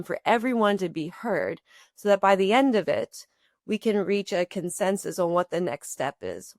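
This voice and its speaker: A woman with a fairly low voice speaks as a podcast guest. She builds her points in long, flowing sentences and grounds them in everyday examples. The sound is dry and close. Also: dry recording. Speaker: podcast guest, company co-founder. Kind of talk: conversational explaining.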